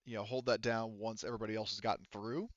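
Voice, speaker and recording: A man's voice: lowish voice, fairly even intonation, fast talker, dry recording, lo-fi sound. A man casually narrating a tabletop game as game master, explaining things in a rambling, informal way.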